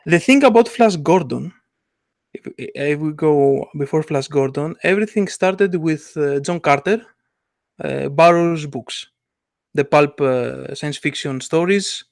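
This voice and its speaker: Male co-host, fairly high voice, dry close sound, halting and eager delivery. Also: gravelly, dry recording. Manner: halting, many "uh" fillers, enthusiastic. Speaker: podcast co-host, comic artist. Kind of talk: casual chat.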